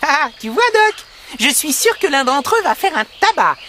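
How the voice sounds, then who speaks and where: A kind young cartoon helper, high and lively in pitch. The character is eager, a fairy-tale sidekick heard over loud music. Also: female voice, moderate pace, slight background noise, full character voice. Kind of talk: French animated fairy-tale dub.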